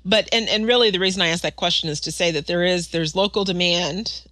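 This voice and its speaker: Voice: medium pitch, brisk, a bit bright, dry recording. An interviewer asking long, rambling questions in a conversational way, with many fillers.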